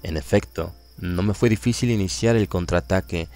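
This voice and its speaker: Deep-voiced Latin American man narrating. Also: normal speed, darkish tone, faint music behind. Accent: Latin American Spanish. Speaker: audiobook narrator. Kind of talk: scripted literary narration.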